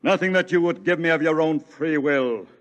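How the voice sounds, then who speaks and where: An actor playing a fanatical male cult high priest in a 1950s radio drama, solemn and intense. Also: moderate pace, in a large hall, natural voice.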